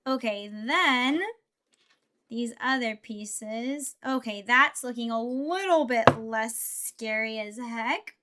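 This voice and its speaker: A woman's voice: fairly high pitch, slow, heavy background noise. A young woman streamer chatting casually while building Lego, thinking aloud and answering her chat. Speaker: VTuber streamer.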